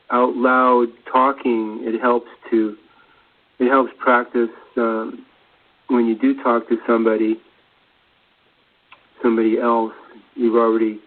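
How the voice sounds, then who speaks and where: Guy rambling casually on spiritual stuff, pretty flat, keeps searching for words, sounds like a phone call. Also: medium pitch. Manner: hesitant, searching for words, repeating himself.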